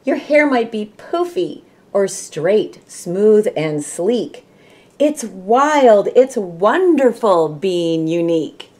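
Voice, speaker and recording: A woman's voice: mid-range voice, very animated, slow, darkish tone. A middle-aged woman with an American Midwestern accent, speaking expressively in the style of a children's storyteller. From Michigan, United States. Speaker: volunteer storybook reader. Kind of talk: children's read-aloud storytelling. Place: small room at home, indoors.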